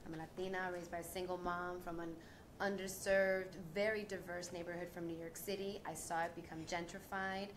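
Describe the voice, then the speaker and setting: A woman's voice: fairly low pitch, flat delivery, a little fast. A young adult woman, an actress on a panel, talking earnestly and reflectively with frequent restarts. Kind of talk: panel discussion. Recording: audible background noise.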